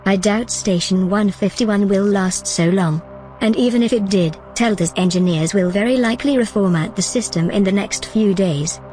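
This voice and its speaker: A coolly persuasive female AI played by a woman speaks at a medium pitch over faint music. The delivery is composed, determined and matter-of-fact, as acted for sci-fi audio drama. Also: moderate pace, warm tone, natural voice. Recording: music behind the voice.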